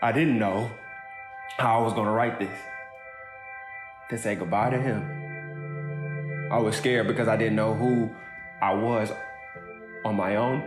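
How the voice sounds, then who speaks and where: Brief: an earnest bereaved kid brother, halting delivery, audio drama, roomy sound with guitar music behind. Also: male voice, fairly low pitch, steady tone. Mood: grieving. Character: grieving kid brother giving a heartfelt eulogy. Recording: roomy echo, music underneath.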